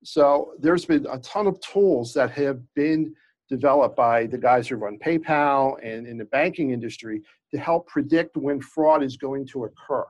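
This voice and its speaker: A man of middle pitch speaks with a slight stutter, often restarting words. He explains legal examples in a conversational interview manner. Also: unhurried, a little mellow. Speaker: employment lawyer, guest.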